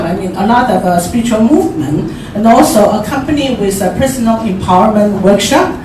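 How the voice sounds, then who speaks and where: Taiwanese accent lady doing an informal talk, kinda halting, far from the mic with noticeable background noise. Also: medium pitch. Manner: halting, searching for words. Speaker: forum speaker. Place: large echoey indoor room at a forum. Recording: very echoey room, distant mic, clear noise.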